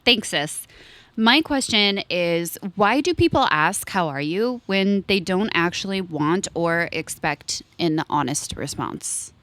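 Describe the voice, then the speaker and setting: A woman's voice: lowish voice, lively intonation, slow pace, a bit bright, no echo. A woman in her thirties co-hosting a podcast, playful and earnest in casual chat. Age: 30s. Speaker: podcast co-host.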